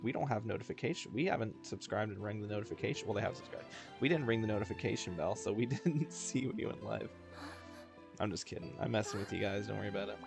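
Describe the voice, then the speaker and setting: A man's voice: fairly low pitch, fast talker, gravelly. A man livestreaming a video game in casual, slangy, joking and self-deprecating chat. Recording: game music behind the voice. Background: video game music.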